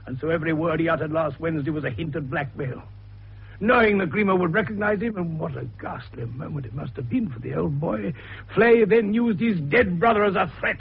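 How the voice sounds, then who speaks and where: Gravelly, husky old sleuth. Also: male voice, on the high side, lively intonation, a little fast, exaggerated voice. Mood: emphatic, revelatory. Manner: theatrical, expository, avuncular. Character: eccentric, avuncular male doctor sleuth, commanding and theatrical. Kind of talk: radio detective drama acting. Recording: dull, muffled radio recording.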